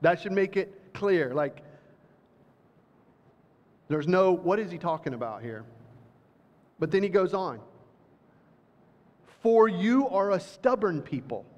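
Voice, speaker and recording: A middle-aged man preaching to a congregation, reading scripture slowly and then talking loosely with fillers and restarts. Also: on the high side, darkish tone. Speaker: pastor. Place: church hall with audience. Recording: dull, reverberant hall recording.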